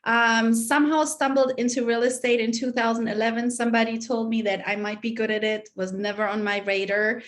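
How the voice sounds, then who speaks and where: A woman's voice: on the high side, level tone, fairly quick, few pauses. A middle-aged woman from Germany talking casually and plainly about herself as a guest on an online panel. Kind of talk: casual, unscripted self-introduction. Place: online video call.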